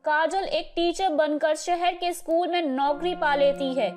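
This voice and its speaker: A woman narrating a moral story in a measured, dramatic way. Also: high-pitched, fairly even intonation.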